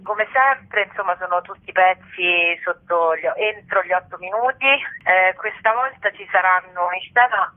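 A woman's voice: mid-range voice, moderate pace, hardly any pauses. A woman chatting casually by telephone as an actress interviewed on the radio. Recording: tinny, narrow telephone line.

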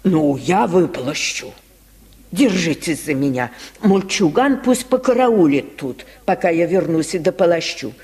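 A male reader plays a kind, fussing village woman in a radio tale. The voice is husky and swings widely in pitch, coaxing and solicitous. It rings in a long hall reverb of an old broadcast recording. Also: lively intonation, a little slow, a little mellow, full character voice. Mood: fussing, reassuring. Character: kindly, fussing, practical lame woman, washerwoman's friend. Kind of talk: radio storybook reading.

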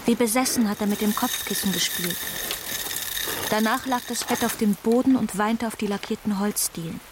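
A woman's voice: mid-range voice, moderate pace, close to an everyday voice. Woman acting grieving eleven-year-old girl amid rainy noise. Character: wounded, determined eleven-year-old daughter mourning her mother. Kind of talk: radio drama monologue. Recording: clearly noisy rain-like background.

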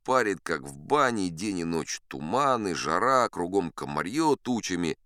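A man's voice: deep voice, big pitch swings, normal speed, bright, forceful tone, clean tone, dry recording, clean studio sound, full character voice. A man playing a boastful old sea captain. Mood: boastful, confiding. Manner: chatty, confiding asides to the listener. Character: boastful old male sea captain spinning tall tales. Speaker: audiobook narrator. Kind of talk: first-person storytelling in an audio play.

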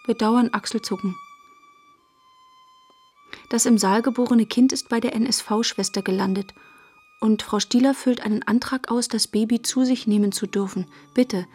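Radio play actress playing young female narrator: high, husky, unsentimental, recalling a post-war girlhood over music. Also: a little mellow, close to an everyday voice.

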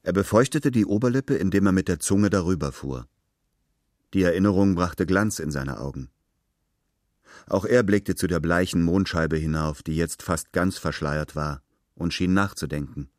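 A man's voice: low-pitched, moderate pace, dry recording, clean studio sound. A man reading literary prose as a narrator, in a scripted storytelling style.

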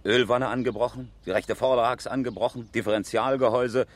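A man's voice: mid-range voice, fairly even intonation, brisk, lightly acted. A man acting as an engineer and amateur rally driver in a 1970s German radio crime drama, flippant and defensive in manner. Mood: agitated, defensive.

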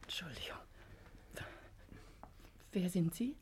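A noisy old radio drama recording carries a nervous, frightened woman. Her lowish voice often drops to a breathy hush as she hesitates and pleads. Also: sing-song, slow pace. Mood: startled, concerned. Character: frightened, anxious wife in a lonely manor. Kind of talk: 1950s German radio play acting.

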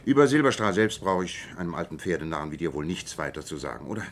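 Self-assured gentleman detective, deep voice, precise lecturing delivery, radio play, noisy rumbling recording. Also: fast. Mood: assured, explanatory. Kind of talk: German radio play acting.

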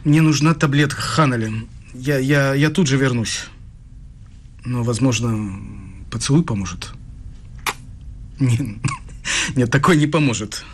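A man's voice: mid-range voice, a little slow, pauses often, slight background noise, close to an everyday voice. An actor playing an affectionate, easygoing young male student in a radio play, talking in a teasing, coaxing way. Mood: pained, playful. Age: young adult.

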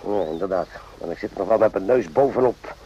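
A grumbly loyal sergeant in an old radio play, blunt and suspicious, darkish soft tone, muffled audio. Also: male voice, fairly low pitch, brisk, breathy edge. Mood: resigned, blunt. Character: army sergeant, suspicious, grumbling, loyal, plain-spoken subordinate. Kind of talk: radio drama acting in Dutch.

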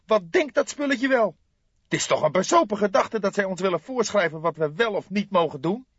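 A man reading an audiobook, voicing an excitable young police detective who questions eagerly and indignantly. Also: high voice, a little fast, slightly rough, dry recording, highs cut off, pitched above the performer's own voice, full character voice. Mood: agitated, indignant.

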